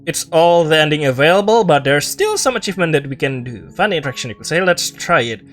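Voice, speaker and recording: High-pitched man doing casual game commentary. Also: very animated, fast, a bit bright, faint music behind. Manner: playful, offhand reactions, echoes game lines. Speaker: game streamer. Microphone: own microphone with compression.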